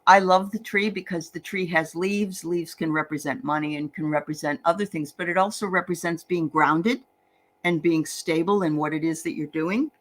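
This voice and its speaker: A woman's voice: on the low side, steady tone, moderate pace, fairly bright tone. A woman from the Boston area with a Boston accent, hosting a casual livestream tarot reading in a chatty, upbeat way. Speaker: tarot reader and livestream host.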